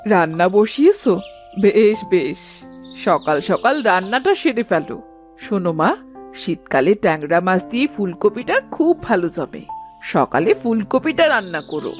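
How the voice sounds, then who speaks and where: A woman's voice: medium pitch, big pitch swings, measured pace, faint music behind. A woman voicing a thrifty, bossy older mother-in-law in a village cartoon, giving matronly instructions. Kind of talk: cartoon story voice acting.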